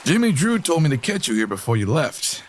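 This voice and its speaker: An actor playing a sly, menacing crook with theatrical hardboiled patter in a comedy adventure audio drama. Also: male voice, a little high, sing-song, brisk, slight background noise. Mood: pushy.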